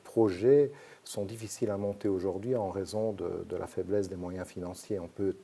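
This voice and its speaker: A man from Belgium, a sociologist explaining his research in measured, hesitant sentences. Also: sits low, fairly even intonation, dark tone, breathy edge. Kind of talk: academic interview answers.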